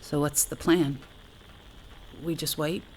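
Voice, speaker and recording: An actress playing a wry, talkative astronaut facing doom in an audio drama, sardonic and conversational in manner. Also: female voice, low voice, fairly even intonation, takes it slowly, a little mellow, slight background noise, poor audio. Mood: grim, sardonic. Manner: sardonic, conversational, hesitant asides. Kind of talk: naturalistic audio drama acting.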